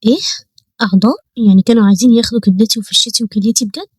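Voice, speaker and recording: A woman's voice: on the high side, racing, a little mellow, slightly rough, no room sound. Woman doing a cheeky streetwise Egyptian girl. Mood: shocked.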